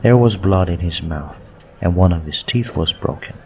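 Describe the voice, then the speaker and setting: A man's voice: deep voice, moderate pace, darkish tone, slight background noise. A man narrating a scripted story as an audiobook reader.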